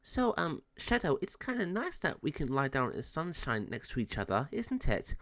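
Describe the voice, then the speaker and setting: Young male storybook cat hero, earnest and friendly, animated intonation male reader performing. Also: a little high, moderate pace, voice pushed up, heavily acted. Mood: shy, fond. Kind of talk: children's storybook read-aloud.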